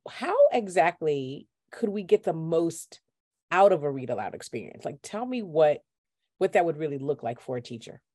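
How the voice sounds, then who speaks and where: Female interview host, low register, conversational, very dry recording. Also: animated, moderate pace, mellow, dry recording. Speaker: podcast host.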